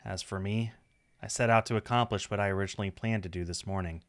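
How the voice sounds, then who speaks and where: A man streaming a visual novel, reading the story aloud as expressive scripted narration. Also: lowish voice, fast, dry recording.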